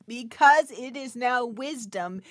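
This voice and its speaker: Slow-paced woman giving a devotional talk. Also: a little high, no room sound, studio quality. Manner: emphatic and testimonial, repeating phrases for weight; intimate, chuckling asides to the listener. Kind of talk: devotional talk addressed to listeners.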